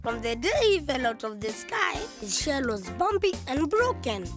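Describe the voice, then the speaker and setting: A woman's voice: high voice, sing-song, normal speed, over music. A woman voicing a playful, cheery monkey who tells stories in a children's cartoon. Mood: storytelling. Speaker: storyteller.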